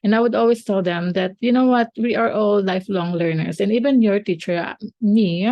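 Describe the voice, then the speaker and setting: A woman's voice: medium pitch, fairly quick, dark tone, dry recording. A woman teacher and podcast co-host who is not a native English speaker, chatting casually with many fillers and restarts.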